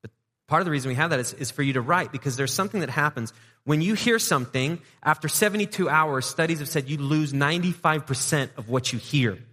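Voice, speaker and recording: A man's voice: fairly high pitch, fast talker, sharp tone. Chatty pastor man in a reverberant hall. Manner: conversational and joking, with playful asides to the congregation. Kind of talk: sermon. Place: church sanctuary. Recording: long reverb of a church hall.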